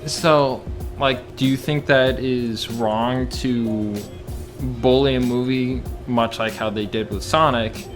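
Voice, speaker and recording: A man's voice: mid-range voice, steady tone, slow, music in the background. A man hosting a casual podcast chat, steering the conversation among friends. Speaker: podcast host.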